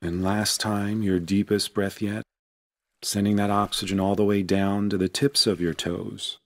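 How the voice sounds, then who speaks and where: A man narrating a guided meditation in a gentle, unhurried, soothing manner. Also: lowish voice, little pitch movement, no room sound.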